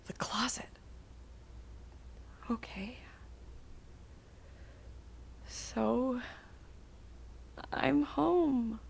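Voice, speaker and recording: Actress as a slow-spoken, frustrated woman. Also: a little high, vocal fry, a little hiss or hum, heavily acted. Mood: tentative. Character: frustrated, defiant woman, daughter and office worker. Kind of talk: stage play acting.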